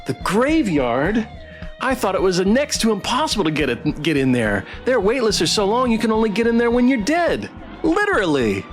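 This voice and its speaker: Man doing showy male announcer for a spooky game: highish, animated, theatrical, faint music. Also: moderate pace. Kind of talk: reading visual novel dialogue aloud.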